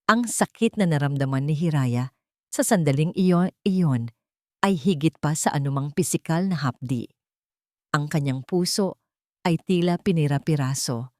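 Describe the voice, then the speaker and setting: A woman's voice: deep voice, a little slow, a little mellow, no room sound, studio quality. A woman narrating a dramatic scripted love story in a solemn, melodramatic manner.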